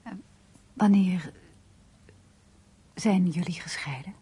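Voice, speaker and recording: Low, very breathy woman. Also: slow, heavily acted. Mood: hesitant, pained. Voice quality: breathy, half-whispered. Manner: hesitant, hushed. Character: single woman, reserved, earnest and compassionate. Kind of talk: radio play acting, intimate dialogue.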